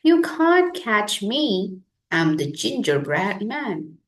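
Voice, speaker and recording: A woman's voice: medium pitch, big pitch swings, takes it slowly, a bit bright, echoey room. A woman voicing a cheeky, boastful little gingerbread man from a storybook, in a taunting sing-song chant. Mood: gleeful taunting.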